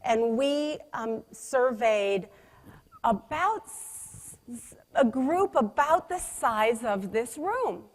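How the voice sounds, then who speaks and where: A woman giving a conference presentation, energetic and emphatic, with a loud voice and frequent restarts. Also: fairly high pitch, extremely slow. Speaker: conference speaker. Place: conference room. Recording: long hall reverb.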